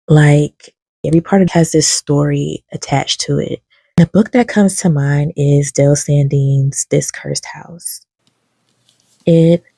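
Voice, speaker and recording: A young woman with a low, gravelly voice and a calm, low-key manner. Her tone is dark and a little husky, recorded close and dry. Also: no echo. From lives in Kentucky. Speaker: book reviewer. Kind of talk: casual recommendation talk to camera.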